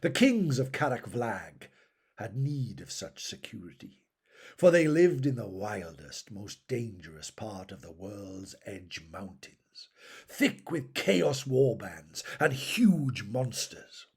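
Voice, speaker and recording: A man reading fantasy fiction aloud as a narrator, in a measured, dramatic storytelling style with phrases that tail off softly. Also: lowish voice, very animated, husky.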